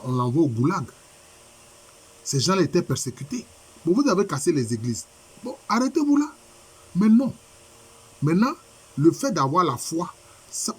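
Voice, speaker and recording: A husky-voiced man preaches with a head cold, and his voice sounds rough and a little stuffy. He hammers his points home by repeating them and asking rhetorical questions. His pitch sits at a middling level. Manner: repetitive, emphatic, rhetorical questions. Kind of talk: sermon by video conference.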